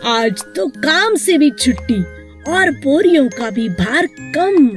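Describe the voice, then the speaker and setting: A woman's voice: high voice, sing-song, slow pace, faint music behind, voice pushed up, heavily acted. A woman voicing a work-shy, fretful male donkey in a children's story. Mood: pleased, sly.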